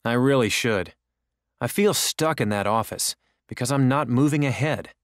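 A discontented office worker played by a man talks in scripted small talk. His voice is mid-pitched, smooth and clear, recorded dry. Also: moderate pace, no room sound, close to an everyday voice. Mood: glum. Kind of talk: scripted English listening-exercise dialogue.

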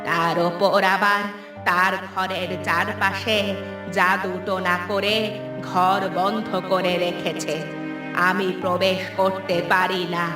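A woman's voice: mid-range voice, level tone, slow pace, close to an everyday voice. A woman voicing a wronged old woman ghost, commanding and mournful, in a horror cartoon. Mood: sorrowful, bitter. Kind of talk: Bengali horror cartoon voice acting. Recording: echoey, distant, music underneath.

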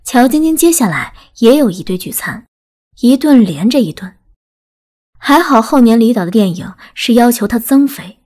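Lively high-voiced woman narrating an audiobook. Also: moderate pace. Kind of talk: scripted story narration, audiobook. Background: background music.

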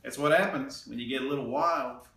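A man in his thirties reading a picture book aloud, slow and expressive, with playful asides, seated in an armchair indoors. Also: medium pitch. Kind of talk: children's storytime read-aloud. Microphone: camera on a tripod a few feet away. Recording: roomy, slightly distant sound.

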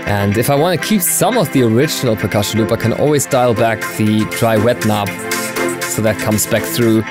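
A man in his thirties hosting a software tutorial, explaining conversationally in a small home studio on a close mic. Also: fairly low pitch, normal speed, music in the background. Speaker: tutorial host.

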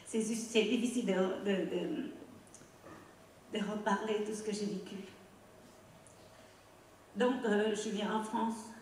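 A middle-aged woman from Cambodia, a native Khmer speaker with a Cambodian accent, giving a halting, emotional personal testimony on a theatre stage into a handheld mic. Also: medium pitch, fairly even intonation, darkish tone, slight background noise. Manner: halting, emotional, non-native phrasing, asks the audience "non?". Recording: echoey theatre from far off.

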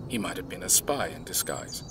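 Actor doing a reserved man, measured reflective delivery, lowish voice, clear ambience behind. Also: noisy background, lightly acted. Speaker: actor in an audio drama. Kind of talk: audio drama acting.

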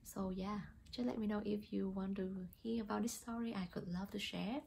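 A woman's voice: medium pitch, fairly even intonation, normal speed, creaky, darkish tone, a little hiss or hum. A young woman from Vietnam with a Vietnamese accent, talking casually to camera as a new real estate agent, hesitant with many fillers. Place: small plain indoor room.

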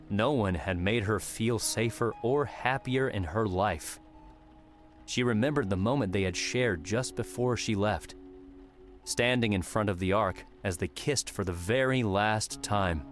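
A man narrating scripted third-person fiction as an audiobook narrator. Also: on the low side. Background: music.

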